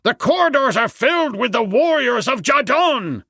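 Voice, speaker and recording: A man's voice: very high voice, very animated, normal speed, gravelly, sharp tone, husky, dry recording, studio quality, pitched above the performer's own voice, exaggerated voice. A man voicing a frightened priest in an audiobook adventure, urgently pleading with his master. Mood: panicked. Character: frightened male priest, panicked, urgent servant.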